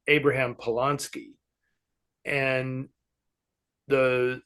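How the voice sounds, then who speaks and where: Male host, creaky mid-pitched voice, conversational and animated, halting as he works through film talk. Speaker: host answering fan questions. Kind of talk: casual film discussion.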